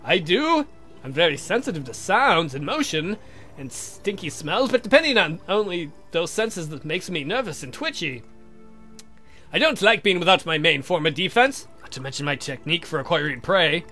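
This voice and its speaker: A man's voice: fairly high pitch, sing-song, normal speed, sharp tone. A man voicing a grumbling, fretful vampire count in a visual novel playthrough, whiny and complaining. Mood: glum. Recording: music behind the voice.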